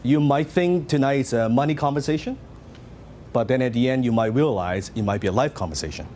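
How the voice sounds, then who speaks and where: A middle-aged man from Hong Kong with his accent still clear, addressing a live seminar crowd. His pitch rises and falls in a very animated way as he throws questions to the room. A faint hum of room noise sits under his voice. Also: fairly quick. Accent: Hong Kong Cantonese. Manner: lively, interactive, asks the audience questions. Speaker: seminar speaker. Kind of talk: live seminar talk to an audience.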